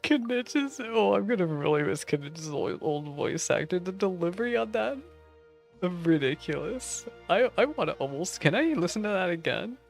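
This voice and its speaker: A woman's voice: lowish voice, lively intonation, normal speed, faint music behind. A woman giving casual, playful playthrough commentary, talking back to the game as a streamer. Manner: giggly, teasing, talks back to the game. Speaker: gaming streamer.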